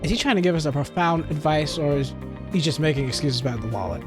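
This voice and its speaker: Gamer thinking out loud doing a chill lets play, guitar game music behind. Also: mid-range voice, lively intonation, fast. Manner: musing aloud, informal. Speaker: video game player and commentator. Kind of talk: casual let's play commentary.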